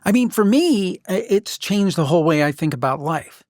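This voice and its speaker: A man's voice: sits high, lively intonation, normal speed, darkish tone, dry recording, clean studio sound. A man hosting a podcast, talking in a conversational interview style. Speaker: podcast host.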